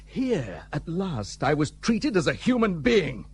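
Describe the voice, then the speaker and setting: An anguished, high-voiced army officer. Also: male voice, huge pitch swings, slow, husky, full character voice. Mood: bitter, anguished. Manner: formal, emphatic, impassioned. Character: anguished, defensive British army lieutenant, disgraced and ostracized. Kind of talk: period radio drama acting. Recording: noisy recording with hum.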